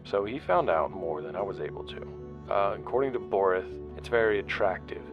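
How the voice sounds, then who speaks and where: Actor as low-voiced, halting investigator over music. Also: male voice, lively intonation, highs cut off. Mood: intrigued, uneasy. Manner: halting, conversational, repeats words. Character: earnest, weary male investigator chronicling outcasts' histories. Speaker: narrator and interviewer. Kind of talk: audio drama narration and dialogue.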